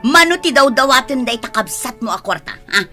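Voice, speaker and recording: A woman acting a suspicious, bad-tempered mistress of the house, sharp and accusing, in a scripted audio drama with music behind. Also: sits high, sing-song, fairly quick.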